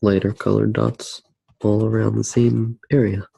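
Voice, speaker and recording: A soft-spoken man narrating his drawing in an ASMR style, unhurried and halting, with long pauses. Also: lowish voice, level tone, gravelly, slightly rough, no room sound. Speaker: ASMR artist demonstrating a drawing.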